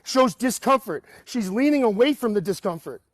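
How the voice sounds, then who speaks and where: A man in his forties with a Boston accent, calling into a talk show and rambling in an animated way with frequent restarts. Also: high voice, normal speed, dry recording. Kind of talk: casual talk-show call.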